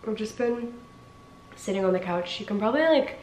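A woman's voice: mid-range voice, lively intonation, a little fast, reverberant, slight background noise. Congested young woman vlogging from her couch. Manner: chatty, lots of "like" fillers, sometimes trails off quietly. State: congested, nasal from a cold. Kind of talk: casual vlog chat to camera. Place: living room, sitting on a couch indoors. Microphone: camera in front at sitting distance.

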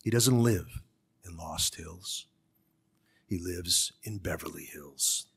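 A man in his sixties from California's Central Valley, an author speaking and reading to the public with measured, deliberate phrasing. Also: low-pitched, gravelly, a bit bright, breathy edge. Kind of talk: public talk and literary reading. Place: conference room. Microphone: gooseneck podium microphone.

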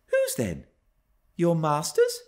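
A man's voice: fairly high pitch, wildly swooping pitch, slow, darkish tone, voice pushed up, heavily acted. A man voicing a spoiled, imperious young gentry girl in an audiobook story, haughty and demanding. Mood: taunting, curious.

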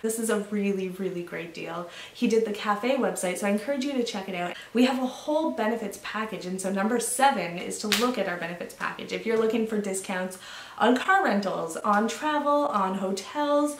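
A woman's voice: mid-range voice, fairly quick, slight background noise. A woman in her thirties from Canada, casually listing membership benefits to camera in an enthusiastic, off-the-cuff promotional talk, recorded in a small indoor room. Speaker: association representative. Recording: roomy echo, slightly distant from mic.

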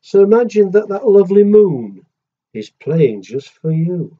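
A man voicing a small, polite, wise cricket who gently encourages in a storybook. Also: high voice, big pitch swings, a little slow, muffled recording, pitched above the performer's own voice, exaggerated voice. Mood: gentle, encouraging.

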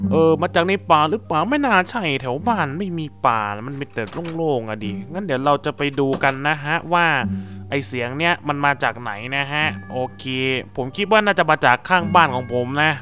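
Man plays nervous noob villager, mumbles aloud, slightly high voice, music bed. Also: a bit bright. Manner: talks to himself, nervous and muttering. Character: clumsy noob villager man, jittery and chatty. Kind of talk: Thai cartoon dub of game footage. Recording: music playing under voice. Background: background music.

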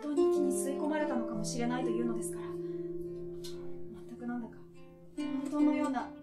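A young woman in her twenties performs a literary tale on stage with expressive, theatrical delivery. Her voice is high, and the room adds a clear echo. Plucked harp music plays behind her. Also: a little fast. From Tokyo, Japan. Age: 20s. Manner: theatrical, expressive delivery, acting out children's dialogue. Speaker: stage storyteller. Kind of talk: dramatic literary recitation. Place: small indoor stage.

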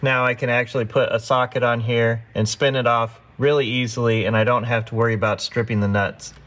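A man's voice: medium pitch, flat delivery, a little fast, a bit bright, a little hiss or hum. A man narrating a car repair step by step, practical and instructive, as the host of his own workshop videos.

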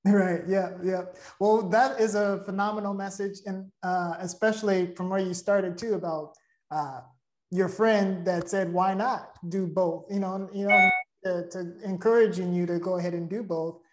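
A woman's voice: medium pitch, fairly even intonation, moderate pace, darkish tone, slight background noise. A woman hosting a casual interview, speaking in an appreciative, affirming and conversational way. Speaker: interview host. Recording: roomy, echoey sound.

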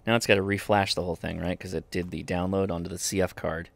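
Low-voiced man casually reviewing gadgets. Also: level tone, quick pace, creaky. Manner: informal, thinking aloud. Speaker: host of a radio gear review channel. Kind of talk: casual hands-on product review. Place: indoors at a desk.

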